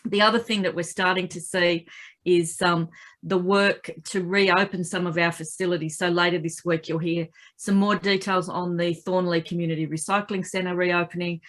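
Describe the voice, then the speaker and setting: Casting: Australian woman, fairly low voice, croaky from a cold, conversational local councillor updating a community meeting by video call. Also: flat delivery, moderate pace, fairly bright tone.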